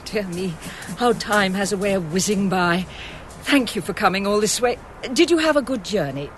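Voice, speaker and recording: An actress playing a polite, anxious gallery assistant in a radio drama, chattering nervously. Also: female voice, medium pitch, expressive, moderate pace, slight background noise, natural voice. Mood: fretful.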